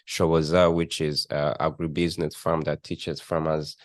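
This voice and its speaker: Deep-voiced young man from Rwanda. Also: level tone, no room sound. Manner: thoughtful, halting, with frequent restarts and fillers. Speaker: guest, student entrepreneur. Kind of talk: conversational interview.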